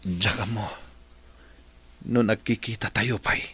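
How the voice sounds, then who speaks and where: Lalaking gumaganap na tapat na binata. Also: male voice, lowish voice, fairly even intonation, takes it slowly, pushed and bright, breathy edge, exaggerated voice. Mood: earnest. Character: grown young man, earnest and grateful. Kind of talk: radio drama acting.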